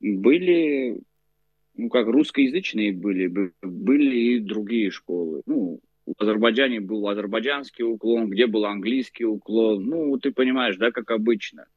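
A man in his forties from Azerbaijan, a guest telling his life story in a casual interview, halting with many fillers. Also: fairly low pitch, husky, no room sound, narrow, tinny audio. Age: 40s.

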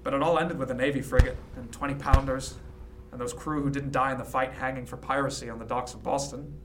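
An actor playing a reflective, modest sea captain of the early 1700s in a radio drama, measured and halting. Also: male voice, mid-range voice, fairly even intonation, a little hiss or hum, close to an everyday voice. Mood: somber, reminiscing. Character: reflective, modest sea captain in 1717, former cabin boy. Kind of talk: period radio drama acting, storytelling.

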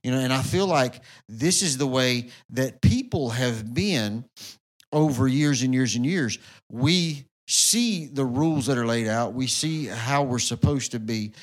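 A man's voice: mid-range voice, animated, unhurried, rough recording. A man, co-hosting a church podcast in a rambling, casual way full of "you know"s. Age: 40s. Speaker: podcast co-host.

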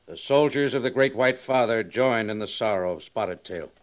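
An actor in a 1950s western radio drama playing a courteous, authoritative cavalry major with a formal, measured manner. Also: male voice, fairly low pitch, lightly acted. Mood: formal, grave.

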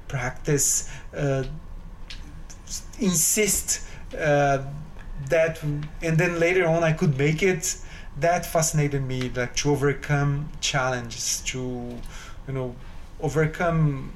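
A man's voice: a little high, a little hiss or hum. A man from Brazil with a Brazilian accent, speaking earnestly as a guest in a casual interview and searching for his words. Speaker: guest pianist.

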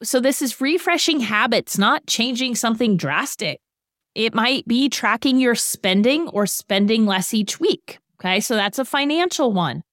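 A woman's voice: a little high, animated, moderate pace, a bit bright, dry recording, studio quality. A woman in her late thirties co-hosting a podcast, explaining ideas and giving everyday examples in a casual way. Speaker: podcast co-host.

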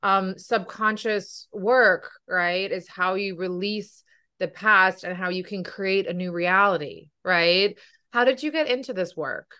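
A woman hosts a podcast interview in a bright, clear and crisp voice. She talks with eager enthusiasm, often checking in with a quick "right?" as she makes a point. Her pitch stays in a middle range. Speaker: podcast host, weight loss coach.

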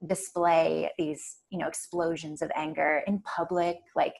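A soft-spoken woman, a podcast guest chatting casually and rambling with many fillers. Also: low-pitched, little pitch movement, measured pace, vocal fry. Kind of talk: casual chat.

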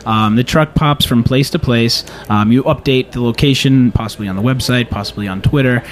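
A man's voice: medium pitch, a little fast. A man hosting a radio show in a casual, conversational way, rambling a little and reworking his phrases as he goes.

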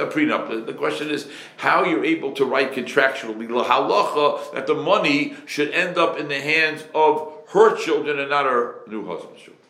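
A man's voice: mid-range voice, a bit bright. Emphatic man preaching in an echoey room. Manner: emphatic, rhetorical questions, repeats phrases for effect; mixes in Hebrew and Yiddish terms. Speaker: rabbi giving a Torah lecture. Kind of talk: sermon to a live audience.

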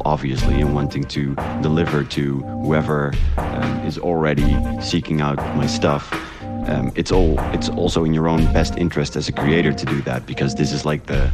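A man from the Netherlands, an independent audio creator, talking casually and hesitantly as he thinks aloud in a voice memo. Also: very deep voice.

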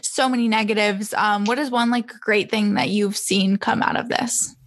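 A woman's voice: medium pitch, fairly even intonation, moderate pace. A young woman from Toronto, hosting a podcast in a chatty, casual way, often saying "like" and "um.". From Toronto, Canada. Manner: chatty, frequent "like" and "um", laughs easily. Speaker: podcast host. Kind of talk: casual interview chat.

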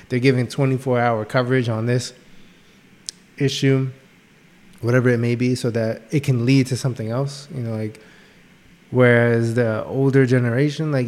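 A man's voice: medium pitch, darkish tone. A young man co-hosting a podcast, rambling and searching for words with many fillers. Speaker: podcast co-host. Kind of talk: casual podcast chat.

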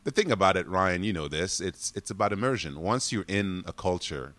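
A man's voice: low voice, lively intonation, fairly quick, a bit bright. A man in his forties from Congo, speaking English, animatedly telling stories in an interview and often laughing. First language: French. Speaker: guest. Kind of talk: casual interview storytelling.

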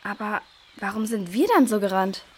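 A woman's voice: mid-range voice, normal speed, darkish tone, breathy edge, natural voice. A woman playing a curious, worried young girl who asks earnest questions in a children's radio play.

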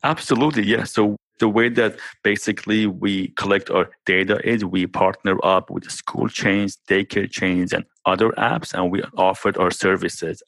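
A man's voice: low voice, fairly even intonation, normal speed, gravelly, few pauses, a bit bright, no room sound. A man speaking English with a non-native accent, a startup founder explaining his technology in conversational interview answers, often saying "basically" and "right".

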